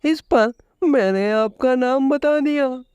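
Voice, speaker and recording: A narrator acts out a clever little rabbit from a children's storybook. The voice is high and unhurried, with a soft, mellow tone. It pleads respectfully, with sly cunning underneath. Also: clean tone, no room sound, lo-fi sound. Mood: pleading. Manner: pleading, deferential. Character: clever small rabbit feigning fear, humbly cunning.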